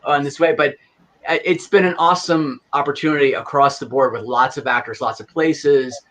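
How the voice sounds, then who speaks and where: A man's voice: on the high side, steady tone, normal speed, a bit bright, a little hiss or hum. Man rambling casually about his production. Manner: rambling, many fillers. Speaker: audio drama producer and director. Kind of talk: casual chat.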